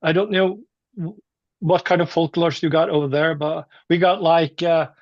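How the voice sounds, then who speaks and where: A man with a Norwegian accent, a musician chatting casually and haltingly in an interview. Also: fairly high pitch, fairly even intonation, dry recording, lo-fi sound. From Norway. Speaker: metal musician being interviewed.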